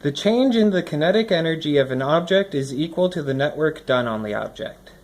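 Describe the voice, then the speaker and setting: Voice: medium pitch, lively intonation, brisk, darkish tone. A person reading a scripted lesson aloud, explaining it with think-aloud asides, recorded indoors on a phone at a desk. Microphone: phone recording from above the desk.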